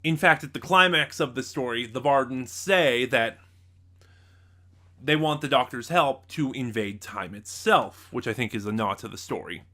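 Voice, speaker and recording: A man giving a casual, unscripted review as a reviewer, speaking haltingly and often losing his thread. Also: mid-range voice, pushed and bright. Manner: halting, loses his train of thought.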